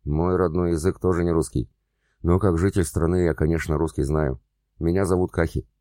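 A man's voice: sits low, steady tone, a little fast, mellow, no echo, clean studio sound, lightly acted. A man voicing a boastful crooked businessman who flirts and swaggers. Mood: charming.

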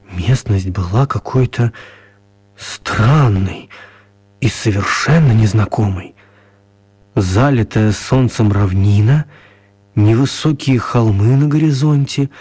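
A man narrating a children's radio play as an expressive storyteller, with theatrical, deliberate phrasing. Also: medium pitch, slightly rough, no room sound.

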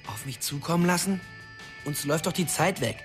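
Actor as a bitter, restless young man, higher-pitched. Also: lively intonation, a little fast, soft background music, lightly acted. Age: young adult. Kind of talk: radio drama acting.

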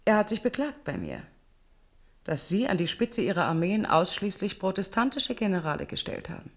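A haughty queen in a radio drama. Her voice sits at a medium pitch, and she is sharp-tongued, sarcastic and challenging, full of cold resentment. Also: big pitch swings, moderate pace. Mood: challenging, indignant. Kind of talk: German radio play acting.

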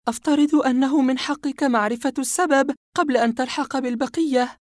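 A narrator voicing a mocking young woman in a horror audiobook, scornful and cold as she confesses a dark secret. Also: high-pitched, fairly even intonation, fairly quick, no room sound, clean studio sound, pitched above the performer's own voice, natural voice.

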